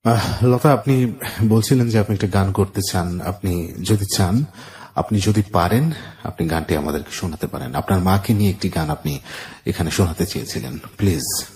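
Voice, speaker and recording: A man with a Bangladeshi accent hosts a radio show about life stories. His voice sits low and is very dark and warm in tone. He speaks earnestly and deliberately, leaving reflective pauses. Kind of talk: life-story radio interview, addressing listeners.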